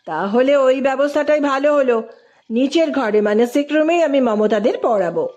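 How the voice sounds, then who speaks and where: A courteous man who teaches at a college , acted out by a woman storyteller. He talks mildly and with mock innocence, gently teasing his listener in a storybook romance. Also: high voice, expressive, normal speed, highs cut off. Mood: feigned surprise. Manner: mild, feigned innocence, teasing. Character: polite, teasing male Sanskrit and Bengali college professor.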